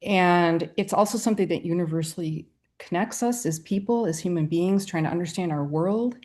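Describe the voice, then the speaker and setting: Low-voiced middle-aged woman, reflective. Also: normal speed, a little mellow. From Wisconsin. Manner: earnest and reflective, with light laughs. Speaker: guest poet and writer. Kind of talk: interview answers.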